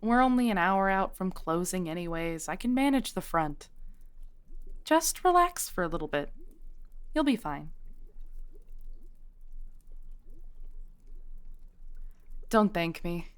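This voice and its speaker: A woman playing a kindly modern witch shopkeeper, patient, wry and reassuring, in an intimate scripted audio roleplay, speaking gently as she explains. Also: fairly low pitch, very animated, normal speed, clear, resonant tone. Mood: soothing.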